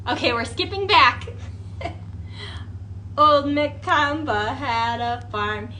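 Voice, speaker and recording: A woman leading an interactive children's storytime as a librarian, talking in an animated way with exaggerated wonder and coaxing questions. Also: high-pitched, takes it slowly, a little hiss or hum.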